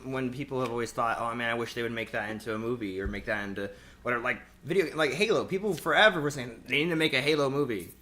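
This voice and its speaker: Bright-voiced man explaining casually. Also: medium pitch, fast. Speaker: podcast co-host.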